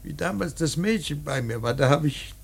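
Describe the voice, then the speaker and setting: A man playing a grieving, bitter old painter in a radio drama, speaking in a halting, emphatic, theatrical monologue with a rough, worn voice. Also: a little high, expressive, full character voice. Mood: remorseful, wounded. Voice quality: husky, gravelly. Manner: halting, emphatic, swelling from murmurs to outcries. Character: grieving old painter mourning his dead son, bitter, rambling.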